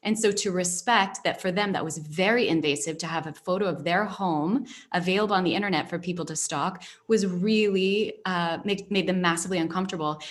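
A woman with a fairly low voice hosts a relaxed conversation. She talks in a reflective, storytelling way, pausing often with soft fillers. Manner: reflective, storytelling, frequent "um" pauses. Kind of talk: casual interview conversation. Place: based in Spain.

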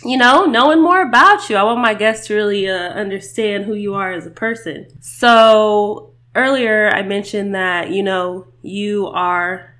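A young woman in her twenties hosts a casual interview, rambling comfortably with plenty of fillers and quick laughs. Her voice comes through bright and tense-edged, at an ordinary middle pitch. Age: 20s. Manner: chatty, loose, lots of "like" fillers, laughs easily. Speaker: podcast host.

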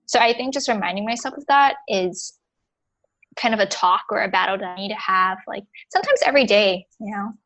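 A young woman answering as a guest in an easygoing conversation. She thinks aloud as she goes, pausing often and filling the gaps with small words. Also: medium pitch, a bit bright. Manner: reflective, halting, many fillers. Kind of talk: casual interview chat.

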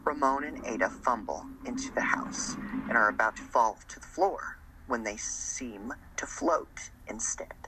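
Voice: medium pitch, unhurried, background noise. A narrator reading scripted scene descriptions for an amateur radio drama.

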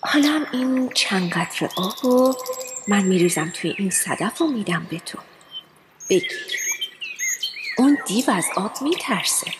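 A woman's voice: mid-range voice, lively intonation, long pauses, background noise, natural voice. Woman acting a reverberant, wise water spirit. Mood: solemn, guiding. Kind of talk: children's radio play acting. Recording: long hall reverb, music underneath.

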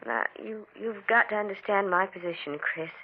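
An actress playing a principled young former sweetheart in an old-time radio drama, speaking earnestly and haltingly. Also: female voice, mid-range voice, lightly acted. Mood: earnest, firm. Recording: tinny, hissy vintage radio recording.

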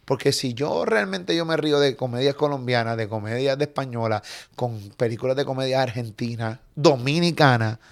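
A man from Puerto Rico in his forties with a Puerto Rican accent, a comedian chatting casually in an interview and telling stories quickly, with some swearing. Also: medium pitch, creaky, no echo. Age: 40s. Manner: animated, swearing, quick storytelling. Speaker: comedian guest.

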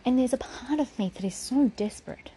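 A woman's voice: high voice, a little fast, mellow, slight background noise, rough recording. A woman giving a raw, defiant personal spoken essay, swearing freely and sometimes dropping to a whisper.

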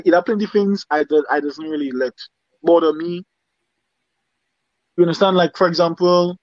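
A man's voice: fairly high pitch, a little mellow, dry recording, dull audio. A man from Dominica with a Dominican accent, a singer talking casually in an interview and often checking "you understand?". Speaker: singer guest.

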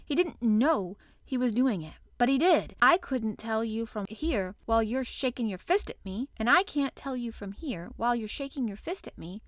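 Lady doing a stubborn little storybook girl, plucky, insistent, kinda high voice. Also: animated, normal speed, few pauses, no room sound, close to an everyday voice. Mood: obstinate. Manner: protesting, insistent. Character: obstinate, plucky young girl.

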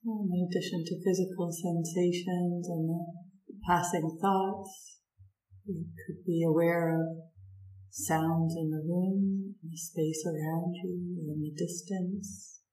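A woman guides a meditation in a slightly low voice. She speaks very slowly, offering gentle instructions in short phrases and leaving long pauses between them. The sound is roomy, with noticeable echo around her words. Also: fairly even intonation.

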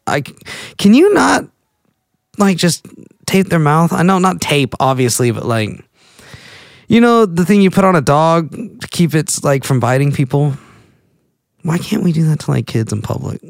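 A man's voice: fairly high pitch, sing-song, normal speed, vocal fry, long pauses, no room sound, studio quality. A man in his late twenties with a Texas accent, rambling goofily through a casual monologue as a podcast host. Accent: Texan. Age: late 20s. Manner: goofy, rambling, self-interrupting.

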